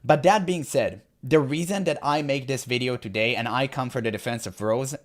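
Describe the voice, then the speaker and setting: A man, not a native English speaker, talking earnestly and carefully in a played video monologue. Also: medium pitch. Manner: earnest, careful, slightly halting.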